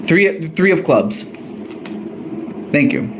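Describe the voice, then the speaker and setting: A man with a fairly high voice, talking slowly and hesitantly on a live stream. He often stops to correct himself and apologizes. A faint hiss sits behind him. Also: sing-song. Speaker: streamer drawing cards for a fan wrestling tournament. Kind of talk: casual live-stream commentary.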